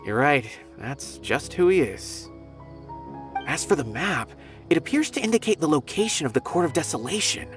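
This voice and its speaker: An actor playing a loyal, conscientious young officer in a video game, earnest and hesitant. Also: male voice, on the high side, sing-song, moderate pace. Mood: grave. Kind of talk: video game character dialogue. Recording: music behind voice.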